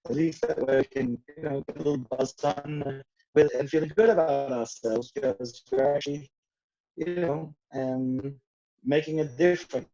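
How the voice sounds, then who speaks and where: A middle-aged man from Denmark talks casually over a video call, his voice mellow and low-key. He rambles along with plenty of fillers and restarts. The call audio sounds dull and muffled. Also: steady tone, moderate pace. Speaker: guest, rum brand owner.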